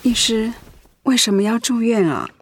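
An actress playing an ailing, selfless pastor's wife and mother in a radio drama, with a weak, breathy voice. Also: on the high side, animated, moderate pace, dry recording, clean studio sound. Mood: worried.

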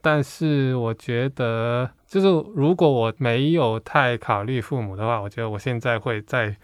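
A man's voice: mid-range voice, moderate pace, smooth, no room sound, clean studio sound. A man from Hong Kong with a Hong Kong accent, talking reflectively and casually as a guest in an interview. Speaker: podcast guest, comic artist.

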